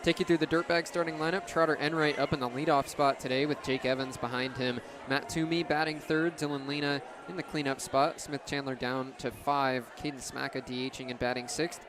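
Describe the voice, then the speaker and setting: A man's voice: medium pitch, steady tone, fairly quick, few pauses. A man in his twenties doing live baseball play-by-play on the radio in clipped, descriptive phrases. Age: 20s. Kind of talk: live sports commentary. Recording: crowd and outdoor ballpark noise behind. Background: ballpark crowd.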